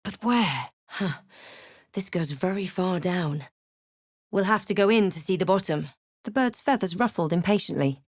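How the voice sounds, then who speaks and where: A wry, measured adventurer heroine. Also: female voice, lowish voice, animated, dry recording, studio quality. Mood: wry, observant. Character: young female adventurer hero, wry, wary and thoughtful. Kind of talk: video game character voice lines.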